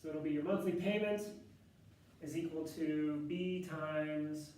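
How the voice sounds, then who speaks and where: A man teaching a math class with a loud voice, talking casually and thinking aloud with fillers and self-corrections. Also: on the high side, fairly even intonation, measured pace. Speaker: math instructor. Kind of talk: classroom lecture. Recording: echoey classroom, distant mic.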